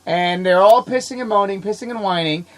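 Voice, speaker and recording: A man hosting a casual podcast, sick and coughing, talking in an excitable, rambling way and laughing often. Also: high-pitched, a little fast, low-quality recording. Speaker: podcast host. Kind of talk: casual chat.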